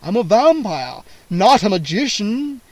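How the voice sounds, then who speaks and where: Man doing a slow, menacing old vampire count. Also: high-pitched, big pitch swings, a little mellow, dry recording, voice pushed up, heavily acted. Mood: weary, soft. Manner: slow, drawn-out menacing delivery. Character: old male vampire count, imperious then weary. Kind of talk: audiobook character voice.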